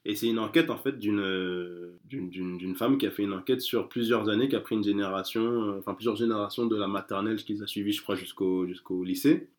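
A man's voice: on the low side, brisk, few pauses. A man chatting casually as an interview guest, hesitant, with many fillers and restarts. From France.

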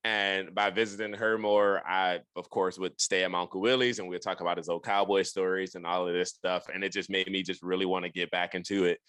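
Deep-voiced man telling a rambling story. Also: little pitch movement, a little fast, hardly any pauses, a bit bright, clean tone, dry recording. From Detroit, Michigan. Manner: rambling, with many restarts and "like". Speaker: podcast guest. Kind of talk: casual storytelling interview.

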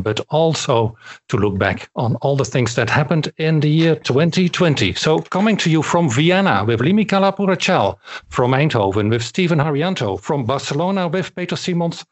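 A man co-hosting a casual radio discussion, reflective and measured. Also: fairly high pitch, expressive. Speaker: station founder and co-host.

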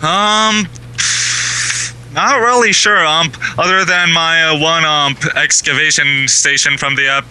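A man from southwestern Ontario, Canada, calling in to a podcast over a tinny online call line, talking haltingly with many ums and uhs. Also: a little high, steady tone, pushed and bright, a little hiss or hum. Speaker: caller.

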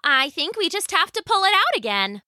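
Storybook role: affectionate old farmer's wife, high and excitable, acted by a woman, bright tone. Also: a little fast, no room sound, studio quality, full character voice. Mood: eager. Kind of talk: children's storybook character voice.

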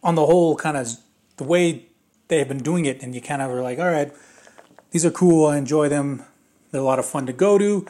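A man with a slightly raspy voice giving a casual, rambling spoken movie review, full of fillers and restarts. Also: fairly high pitch, fairly quick, dark tone.

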